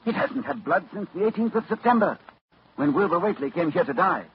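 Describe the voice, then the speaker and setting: A man's voice: high-pitched, expressive, fairly quick. A man playing a grave, driven doctor who narrates his ordeal urgently in a 1940s radio drama. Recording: muffled old radio broadcast, hiss.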